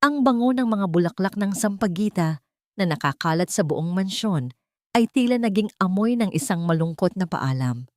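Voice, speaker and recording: A woman's voice: medium pitch, animated, normal speed, no room sound, studio quality. A woman reading a scripted story as a solemn, melodramatic audiobook narrator.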